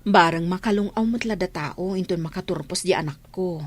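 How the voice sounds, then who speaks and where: A woman's voice: lowish voice, fairly quick, close to an everyday voice. A woman with a Filipino accent playing a humble, struggling village mother in an Ilocano comedy radio drama. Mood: pleading.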